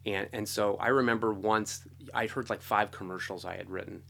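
A man with a fairly low voice sits as an interview guest, telling stories from working life. His delivery is informal and animated, with thoughts looping through casual phrases like "you know.". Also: moderate pace, gravelly. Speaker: guest, composer and orchestrator. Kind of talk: conversational interview storytelling.

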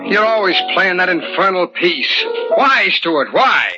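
A man's voice: high voice, very animated, unhurried, loud music behind the voice. A man playing a bitter, neurotic, jealous brother with intense, accusing 1940s radio drama delivery. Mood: resentful. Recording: muffled 1940s radio broadcast.

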